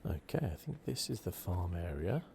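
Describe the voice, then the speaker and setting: A man with a low voice and a gravelly fry hosts a relaxed ASMR playthrough. He is soft-spoken and politely chatty, with clear game noise behind him. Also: moderate pace. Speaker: gaming channel host.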